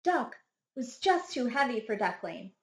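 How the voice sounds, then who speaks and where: A woman reads a children's storybook aloud in an echoey room. Her delivery is dramatic and very animated, phrased deliberately for young listeners in the manner of a primary school teacher. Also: on the high side. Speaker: first grade teacher reading a picture book.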